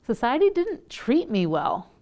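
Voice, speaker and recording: A woman's voice: a little high, wildly swooping pitch, measured pace, a little mellow. A woman interpreting in the first person for a deaf man in a news interview.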